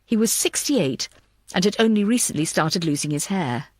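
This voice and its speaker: A woman's voice: on the low side, animated, normal speed, no room sound. A woman reading scripted thriller fiction as an audiobook narrator.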